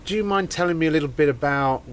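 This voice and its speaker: Very deep-voiced woman host. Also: slight background noise. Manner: constant short affirmations, brisk questions. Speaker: podcast host. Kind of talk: casual interview. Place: book and music shop, live event.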